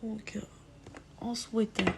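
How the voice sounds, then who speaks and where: A woman with a cold giving a live makeup tutorial on a phone stream, hesitant with many filler pauses, sometimes dropping to a whisper while she works. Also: on the high side, completely flat delivery, gravelly, background noise. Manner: drops to a whisper while applying. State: has a cold. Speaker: makeup livestreamer.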